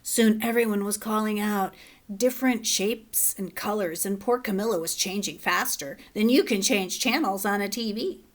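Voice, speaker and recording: A woman teacher reading a children's picture book aloud, relaxed and gently instructive. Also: mid-range voice, normal speed.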